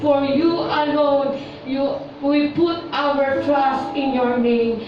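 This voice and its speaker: Woman, Filipino accent, fervent pleading prayer leader, slow, very echoey hall, music behind. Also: sits high, fairly even intonation. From Philippines. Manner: devout, pleading, repeatedly calling on God in short phrases. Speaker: prayer leader at a church service. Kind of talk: fervent spoken closing prayer with benediction. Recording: echoey church hall, distant muffled mic, music behind. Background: worship music.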